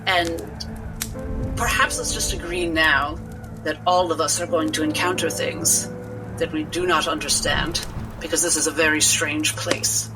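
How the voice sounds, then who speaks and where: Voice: mid-range voice, steady tone, measured pace, over music, poor audio. A player speaking in character in a formal, articulate, lecturing way. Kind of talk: in-character tabletop play.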